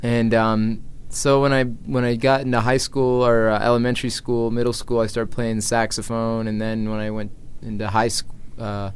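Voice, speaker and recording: A man's voice: level tone, normal speed, hardly any pauses, smooth. An American man in his early thirties chatting informally as an interview guest, thinking aloud as he goes. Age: early 30s. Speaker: interview guest, traveling musician and activist. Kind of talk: casual conversational interview. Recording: low background noise, room ambience.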